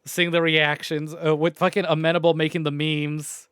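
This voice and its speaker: A man with a fairly high-pitched voice talks casually to his livestream viewers. His delivery is rambling and informal, with loose phrasing and the odd mild swear. The sound is dry, with no room echo. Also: level tone, moderate pace, fairly bright tone, clear, resonant tone. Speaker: video game livestreamer. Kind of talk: casual chatty stream sign-off to viewers.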